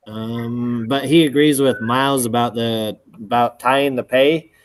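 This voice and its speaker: Slow, hesitant man in class talk. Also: medium pitch, poor audio. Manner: hesitant, many fillers and restarts, clears throat. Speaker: student in a discussion group. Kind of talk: casual class discussion.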